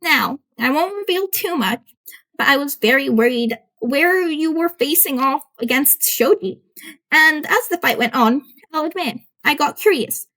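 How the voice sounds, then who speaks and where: A woman's voice: sits high, expressive, measured pace, bright, forceful tone, voice pushed up, exaggerated voice. A woman voicing an elderly school nurse, kindly but stern and scolding, in an amateur audiobook. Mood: concerned, curious. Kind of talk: fan fiction audiobook character voice.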